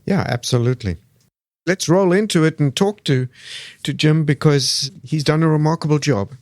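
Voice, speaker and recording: A man's voice: fairly high pitch, normal speed, a little mellow, dry recording, studio quality. A man co-hosting a podcast interview, upbeat, reading a polished guest introduction. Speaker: podcast co-host.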